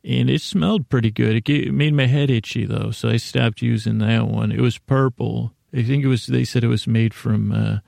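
Gravelly, rambling male podcast host. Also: mid-range voice, steady tone, a little mellow, no room sound, studio quality. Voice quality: gravelly, creaky. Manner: meandering, halting, self-deprecating. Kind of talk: rambling comedic monologue.

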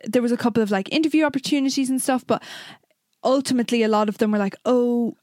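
A woman's voice: a little high, fairly even intonation, fast, a little mellow, no echo, clean studio sound. A young woman in her twenties, co-hosting a podcast in casual, chatty talk that often voices her own past thoughts. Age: 20s. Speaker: podcast co-host. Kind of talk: casual chat.

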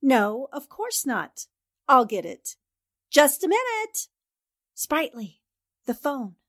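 A woman's voice: high-pitched, big pitch swings, slow, a bit bright, dry recording, studio quality, exaggerated voice. A woman acting a determined, easily exasperated newspaper reporter in a 1940s-style radio drama. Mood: wary, businesslike.

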